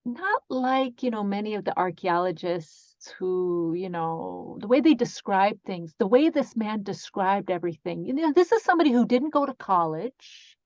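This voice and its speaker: A woman voices a signing guest in real time, talking in the first person and correcting herself mid-sentence as she goes. Her pitch is middling. The sound is dry and a little muffled, like an online call. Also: expressive, normal speed, few pauses, darkish tone, no room sound. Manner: speaks in the first person for the guest, with restarts and fillers. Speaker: sign language interpreter voicing a deaf guest. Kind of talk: live interpretation in an interview.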